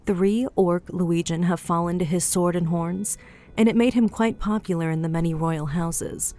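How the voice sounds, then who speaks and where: A woman's voice: fairly low pitch, fairly even intonation, moderate pace, dark tone, lightly acted. A woman voicing a cheeky, flirtatious female goliath innkeeper in an audiobook, chatty and teasing, with a motherly streak. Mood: proud, storytelling. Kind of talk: audiobook character dialogue. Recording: music bed behind the voice.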